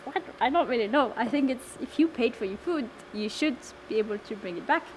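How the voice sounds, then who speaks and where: A woman's voice: fairly high pitch, expressive, fairly quick. A woman in her twenties from Lebanon and France, founder of a food waste network, answering interview questions casually with many fillers and restarts. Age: 20s. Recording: noisy background.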